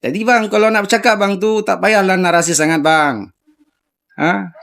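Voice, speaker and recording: A man's voice: sits high, steady tone, normal speed, creaky, a bit bright. A man speaking directly to someone in a video response, insistently challenging him with repeated questions.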